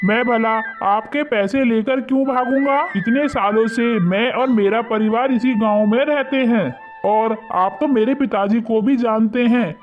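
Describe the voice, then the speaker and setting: A man playing an earnest, respectful young poor farmer in an animated moral story. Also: extremely high pitch, a little slow, hardly any pauses. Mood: pleading, respectful. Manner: respectful, pleading. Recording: muffled lo-fi audio under loud music.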